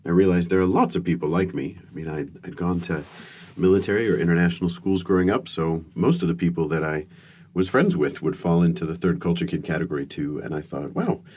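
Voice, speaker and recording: Low-voiced man telling wry stories. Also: a little fast, darkish tone, slightly rough. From born in the United Kingdom to American parents. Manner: self-deprecating, wry storytelling with frequent restarts. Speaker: guest speaker and comedian. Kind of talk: relaxed storytelling interview.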